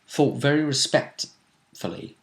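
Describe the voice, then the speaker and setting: A British man hosting a tabletop role-play vidcast, recapping a game casually in a halting way, repeating himself and trailing off. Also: medium pitch, expressive, vocal fry. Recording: roomy, echoing sound.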